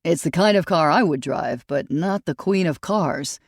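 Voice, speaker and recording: A tall, composed detective who keeps his questions friendly and level, then turns blunt, as an audiobook narrator voices him. A mid-pitched voice, close and dry on the mic. Crime audiobook. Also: normal speed, no echo, close to an everyday voice. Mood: probing. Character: tall male police detective, calm and probing.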